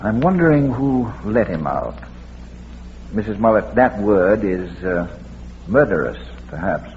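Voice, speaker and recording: An actor playing a dry, witty, unflappable gentleman sleuth in a 1940s radio comedy-mystery, with genteel phrasing. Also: fairly low pitch, big pitch swings, unhurried. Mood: musing. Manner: droll, wry, genteel phrasing. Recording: muffled old radio recording, hiss, reverberant.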